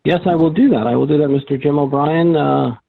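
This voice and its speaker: Very creaky man, muffled call. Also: fairly high pitch, a little fast. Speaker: club member introducing the speaker. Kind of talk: informal introduction. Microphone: video call. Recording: muffled, band-limited video call audio.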